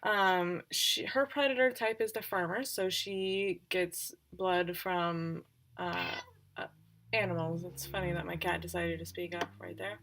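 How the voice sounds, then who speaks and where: Female game master, informal livestream recap. Slow, halting delivery, gravelly fry, thinks aloud. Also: on the low side, fairly even intonation, a bit bright, low-quality recording. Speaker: game master introducing player characters. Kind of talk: casual recap of roleplaying characters on a livestream.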